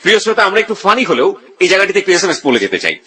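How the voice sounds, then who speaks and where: Male radio host, Bangladeshi accent, fast-paced, lively pitch, direct to listeners. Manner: addresses listeners directly, mixes in English words. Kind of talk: live radio interview hosting.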